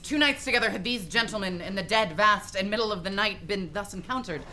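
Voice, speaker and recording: Woman as loyal young courtier, radio Shakespeare: forceful bright tone, mid pitch, respectful and earnest. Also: brisk, slight background noise, lightly acted. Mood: earnest, urgent. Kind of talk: Shakespearean radio play acting.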